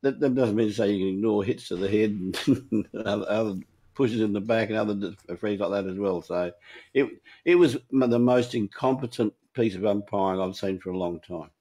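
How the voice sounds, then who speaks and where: Australian man, casual sports talk, mellow tone, low pitch, emphatic. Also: a little fast, husky. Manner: emphatic, restarts often, chuckles while talking.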